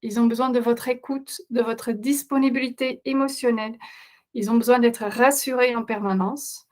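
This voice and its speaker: Non-native french-speaking woman, middle-aged, kinda high voice, explains steady with lots of ums. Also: moderate pace. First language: not French. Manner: steady explaining with frequent hesitation fillers. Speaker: parenting coach, webinar host. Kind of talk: presenting a webinar.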